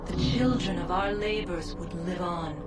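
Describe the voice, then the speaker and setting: A woman's voice: lowish voice, close to an everyday voice. A woman playing a spectral, disembodied ancient goddess in a video game, speaking with grandiose, portentous solemnity. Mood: solemn, otherworldly. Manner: slow, grandiose, portentous phrasing. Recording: distant voice under loud eerie music.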